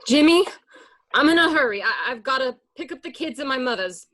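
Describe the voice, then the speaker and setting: A woman's voice: high voice, lively intonation, a little fast, sharp tone. A woman acting a frightened, distrustful mobster's wife and mother in an amateur radio play, emotional and insistent. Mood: uneasy.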